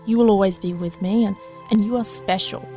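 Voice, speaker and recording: A woman's voice: mid-range voice, steady tone, fairly quick, warm tone, faint music behind, natural voice. A young woman playing an earnest, idealistic, old-souled girl with formal wording in an audio drama. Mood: earnest, tender. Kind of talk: scripted audio drama dialogue.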